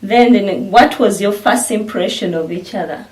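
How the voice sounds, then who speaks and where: A woman's voice: medium pitch, moderate pace, a little mellow, rough recording. A woman in her thirties with a Ugandan accent, hosting a vlog in casual chat to the camera. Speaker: vlog host.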